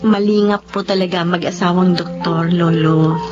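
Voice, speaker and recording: A woman's voice: lowish voice, steady tone, normal speed, over music, natural voice. A woman playing a caring, respectful young clinic assistant in a Filipino radio drama. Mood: helpful. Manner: respectful, helpful. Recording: dull lo-fi radio drama audio.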